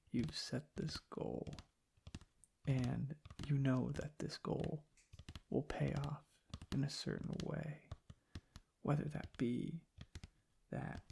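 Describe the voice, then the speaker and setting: A man softly rambling in an ASMR style, hushed and halting, drifting into whispers close to the mic. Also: on the high side, vocal fry, slight background noise. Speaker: ASMR video creator. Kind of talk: soft-spoken ASMR ramble. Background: tapping and clicking.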